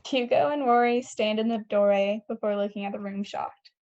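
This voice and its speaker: A woman's voice: mid-range voice, moderate pace, a little mellow, dull audio. A woman reading scene headings and stage directions as the narrator of a scripted table read.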